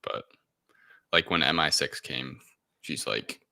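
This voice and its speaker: A man's voice: very deep voice, slow, vocal fry, bright, forceful tone. A man talking casually as a panelist in a film review discussion. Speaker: podcast panelist.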